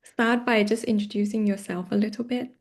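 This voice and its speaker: A woman's voice: medium pitch, steady tone, a little fast. A woman hosting an informal, friendly interview, putting questions to her guest. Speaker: interview host.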